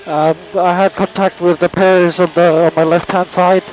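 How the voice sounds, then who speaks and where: A male racing driver reporting haltingly to his team over in-car radio. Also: high voice, level tone, darkish tone, a little hiss or hum, rough recording.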